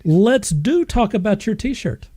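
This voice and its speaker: A man's voice: high voice, expressive, slow, warm tone, slightly rough, dry recording. A man hosting a podcast in casual, chatty banter. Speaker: podcast host.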